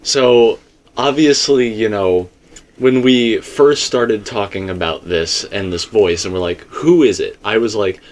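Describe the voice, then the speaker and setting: A man's voice: fairly low pitch, unhurried. A man talking through a fan theory in a casual, emphatic and rambling way, full of asides. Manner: emphatic, rambling, speaks in asides and self-quotes. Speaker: video commentator and theorist.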